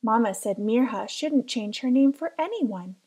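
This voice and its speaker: A woman reading a children's picture book aloud as careful, expressive storytelling. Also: on the high side, moderate pace, breathy edge. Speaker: storybook reader.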